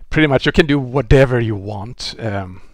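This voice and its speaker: A man in his forties with a Swedish accent, giving a relaxed technical lecture over a headset mic, often restarting and filling with "uh" and "you know". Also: mid-range voice, normal speed, no echo, studio quality. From Sweden. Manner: relaxed explaining, with frequent restarts and fillers. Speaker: presenter. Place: indoor room.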